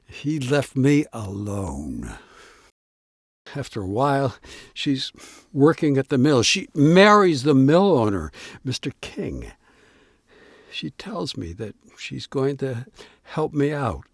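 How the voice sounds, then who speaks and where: An actor playing a devoted, exasperated younger brother who works at the family mill, speaking earnestly with a halting stammer in an audio drama. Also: male voice, mid-range voice, big pitch swings, gravelly, breathy edge, no room sound, clean studio sound, exaggerated voice. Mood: confiding, wistful. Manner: halting, earnest, repeating words.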